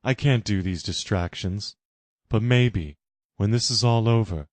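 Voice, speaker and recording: A man's voice: fairly low pitch, measured pace, a little mellow, dry recording, natural voice. A man voicing a determined woman queen who speaks resolutely.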